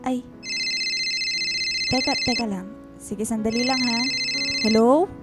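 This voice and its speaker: A woman's voice: on the high side, fairly even intonation, slow, loud music behind the voice. A woman playing a busy, evasive working mother in a radio drama, hesitant and placating. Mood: flustered, evasive.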